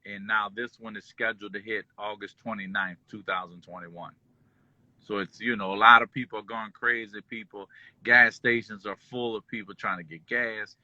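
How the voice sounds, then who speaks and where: Bright-voiced man telling stories. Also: fairly low pitch, moderate pace, no room sound, lo-fi sound. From born in Kuwait, raised in Detroit and New Orleans. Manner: relaxed, rambling with many fillers. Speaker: guest. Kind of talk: casual interview storytelling. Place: at home, just pulled into driveway.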